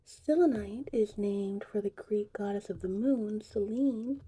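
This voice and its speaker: A woman whispering softly and breathily in an unhurried, chatty ASMR witch potion tutorial roleplay. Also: mid-range voice, husky, poor audio. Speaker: ASMR host.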